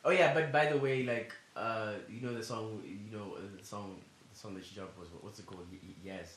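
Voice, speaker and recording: A man's voice: lowish voice, fairly quick, a bit bright. A young man co-hosting a podcast in casual banter, loose and laughing, trailing off with lots of fillers. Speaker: podcast co-host. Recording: roomy with faint hiss.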